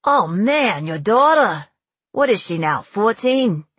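A woman voicing a jovial, chatty Coast Guard man with a Brooklyn accent, loud and informal, in an audiobook. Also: mid-range voice, big pitch swings, slow pace, husky, no echo, exaggerated voice. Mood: hearty, teasing.

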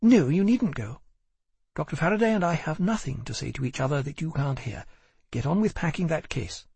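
A man's voice: a little high, expressive, brisk, gravelly, a little mellow, slightly rough, no room sound, pitched above the performer's own voice, exaggerated voice. A man playing a cold, weary young woman. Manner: clipped, cold, dismissive.

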